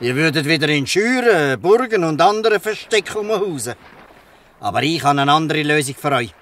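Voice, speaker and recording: A man plays a kindly, bluff fisherman in a Swiss German radio drama. His voice is fairly high and swoops with animation, fatherly and shrewd, a little off the mic. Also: big pitch swings, brisk, fairly bright tone. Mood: kindly, persuasive. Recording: slightly distant from the mic.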